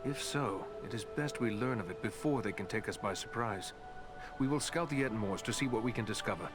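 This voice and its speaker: An actor playing a dutiful, serious ranger adventurer in a fantasy game, speaking in an earnest, formal way. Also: male voice, fairly low pitch, brisk, over music, close to an everyday voice. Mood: resolute. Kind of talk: fantasy game cutscene acting.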